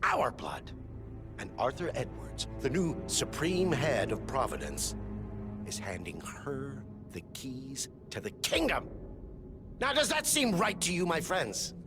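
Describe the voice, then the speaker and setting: Grandiose, scheming villain, animated delivery. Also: male voice, mid-range voice, slow pace, over music, full character voice. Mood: rousing, indignant. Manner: grandiose, theatrical speechifying. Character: scheming, smug villain leading a secret society. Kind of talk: dramatic video game acting.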